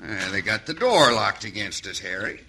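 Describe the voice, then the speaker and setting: Sardonic drawling Western outlaw boss. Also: male voice, fairly low pitch, very animated, normal speed. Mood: sly, mock-aggrieved. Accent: American Western. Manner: drawling mock-folksy cowboy talk, mockingly innocent. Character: cruel, sardonic Old West bandit boss. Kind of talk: old-time radio western acting. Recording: vintage radio recording, faint hiss.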